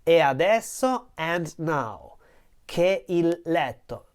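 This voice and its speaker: Slow, patient woman teaching Italian. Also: on the low side, sing-song. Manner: slow, patient, repeats each word with its meaning. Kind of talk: line-by-line song translation lesson.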